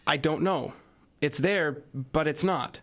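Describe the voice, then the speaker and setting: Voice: medium pitch, takes it slowly, fairly bright tone. An actor playing a helpless oracle compelled to answer questions in an audio drama. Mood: helpless, resigned.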